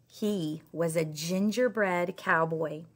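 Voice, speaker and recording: A middle-aged woman, a teacher, reading a children's story aloud in an expressive, emphatic way. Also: on the low side, takes it slowly. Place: indoor school classroom.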